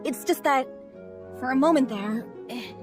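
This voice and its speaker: A woman playing a gentle, apologetic and devoted stage performer in an anime game, tender and slightly hesitant. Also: sits high, a little slow, over music.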